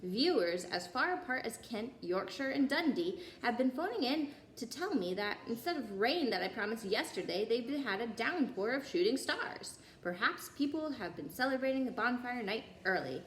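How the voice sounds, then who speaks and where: A woman's voice: fairly high pitch, very animated, moderate pace, few pauses, fairly bright tone. Woman reading as a chatty TV weatherman. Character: chatty, jovial male TV weatherman. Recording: roomy echo, some background noise.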